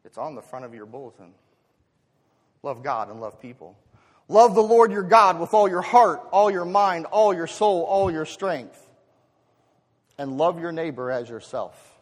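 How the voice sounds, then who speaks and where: An American man delivers a sermon in a fairly high-pitched voice, with long reverb from a large hall. He poses rhetorical questions to the congregation as a pastor would. His delivery shifts between chatty asides and emphatic swells. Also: expressive, a little slow.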